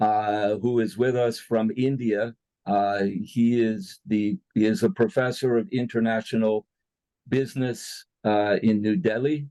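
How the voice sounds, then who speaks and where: A man from the United States moderating a webinar, speaking politely with many pauses and fillers. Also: on the low side, steady tone, dark tone, clear, resonant tone, no echo, rough recording. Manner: halting, polite. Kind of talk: moderating an online panel.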